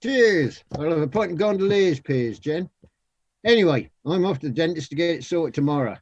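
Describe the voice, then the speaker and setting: Lisping know-it-all male pub regular for radio comedy, played by a man, husky, animated delivery, lo-fi sound. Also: fairly high pitch, moderate pace. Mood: joking. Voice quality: lisp.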